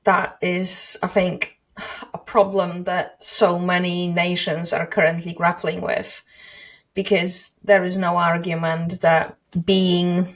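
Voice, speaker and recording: A woman from Poland, hosting an interview and talking in a thoughtful, hesitant way that restarts mid-sentence. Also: on the low side. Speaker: podcast host. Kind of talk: casual interview chat.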